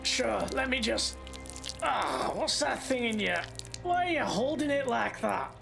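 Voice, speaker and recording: A man voicing a small, jittery, bearded back-alley doctor in a noir audio drama, whining and protesting in a strained high voice. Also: very animated, unhurried, creaky, a bit bright, soft background music, heavily acted. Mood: exasperated. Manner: whiny, flustered protesting.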